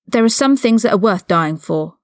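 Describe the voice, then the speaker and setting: Defiant young man acted by a woman, hot-headed, blunt, indignant, animated pitch, slightly gravelly, storybook character. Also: a little fast, dry recording, studio quality, close to an everyday voice. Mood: defiant, shaken. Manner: blunt, indignant, informal speech. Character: defiant eighteen-year-old male wizard prisoner, hot-headed and loyal.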